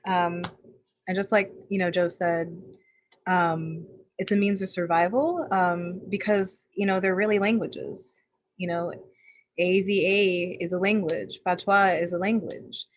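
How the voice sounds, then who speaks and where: A woman of Barbadian family who grew up in the United States, sharing personal stories as a panelist, in a lively, animated way. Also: fairly low pitch, unhurried, slight background noise. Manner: lively, laughs easily, animated storytelling. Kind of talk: personal story in a panel discussion.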